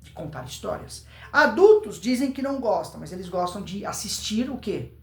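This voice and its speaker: A man's voice: high voice, big pitch swings, normal speed. A Brazilian man giving an informal public speaking lesson, emphatic, repeating words and answering his own questions. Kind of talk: informal instructional video to camera. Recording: roomy, echoing voice recording.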